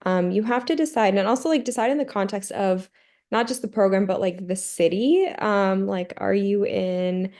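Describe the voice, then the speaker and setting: A woman's voice: mid-range voice, normal speed, a little mellow. A young woman, an emergency medicine resident on a video call, giving candid, emphatic advice to applicants on a webinar panel.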